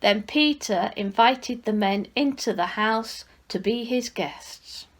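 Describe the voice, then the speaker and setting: A woman reading a Bible passage aloud in a measured, expressive way, as a scripture reader. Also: a little high.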